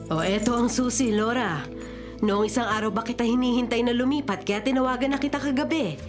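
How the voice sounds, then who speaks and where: A woman's voice: fairly high pitch, a little fast, natural voice. An actress playing a chatty, wary landlady in a Filipino radio drama. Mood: wary, businesslike. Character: chatty, wary landlady handing over an apartment. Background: dramatic music.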